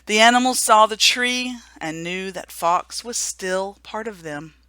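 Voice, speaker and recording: A woman reading a children's storybook aloud for a remembrance service, gentle and hushed at the sad moments. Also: medium pitch, a little slow, clear, resonant tone, no room sound. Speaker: story reader.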